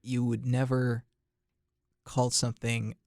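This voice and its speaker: A male podcast host asks friendly questions, stumbling through restarts and laughing easily. His voice has a gravelly fry, recorded close and dry with no room sound. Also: medium pitch, completely flat delivery, takes it slowly, darkish tone, dry recording. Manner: hesitant restarts, laughs a lot, encouraging. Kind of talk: casual interview chat.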